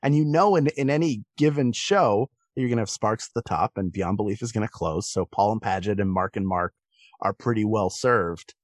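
A man's voice: on the low side, normal speed, no echo. Man chatting casually, close dry sound. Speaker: podcast guest, performer. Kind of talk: casual discussion.

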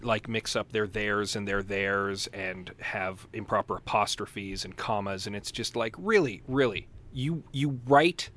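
A man's voice: on the low side, measured pace, hardly any pauses, fairly bright tone. A man co-hosting a podcast and talking in a casual, conversational way. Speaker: podcast co-host. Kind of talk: casual chat.

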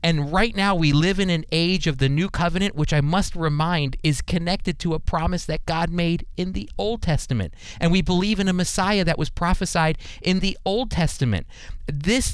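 A man's voice: a little high, clean tone, no echo. A man giving earnest, emphatic Bible teaching in the style of a radio sermon commentary.

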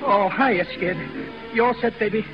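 An actor playing an anxious burlesque show manager in a 1930s radio drama, nervous, stammering and slangy. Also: male voice, sits high, moderate pace, lightly acted. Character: anxious, grateful burlesque show manager fretting over his opening. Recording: muffled 1930s radio with loud music.

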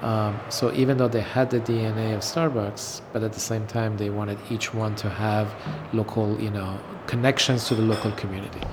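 A middle-aged man from Iran talking casually about his design career, pausing often with ums. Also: lowish voice, background noise. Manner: relaxed, hesitant with many ums. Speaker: interview guest, designer. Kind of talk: casual interview recollection.

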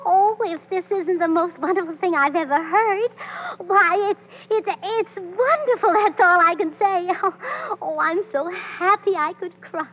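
An actress playing a gushing, scatterbrained young wife with a fluttery, stammering manner in a 1930s radio comedy. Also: female voice, extremely high pitch, measured pace, hardly any pauses. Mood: gushing excitement. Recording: muffled, hissy 1930s radio broadcast.

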